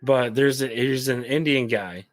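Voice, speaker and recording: A man's voice: mid-range voice, a little slow, no room sound. A man hosting a podcast, asking curious, chatty questions and laughing easily. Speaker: podcast host. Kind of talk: casual interview.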